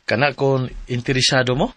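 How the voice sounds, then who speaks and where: An actor with a Cebuano Filipino accent playing a friendly, persuasive buddy in a radio drama, with a chummy, coaxing manner. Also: male voice, mid-range voice, little pitch movement, moderate pace, fairly bright tone, no room sound, natural voice. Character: friendly, persuasive male buddy pawning his land.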